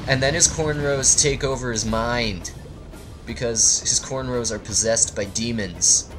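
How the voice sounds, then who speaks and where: Male let's play storyteller, mid-range voice, rambling and crude tongue-in-cheek delivery, clear music underneath. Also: medium pitch, a bit bright. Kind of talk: improvised comedic storytelling. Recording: music behind the voice.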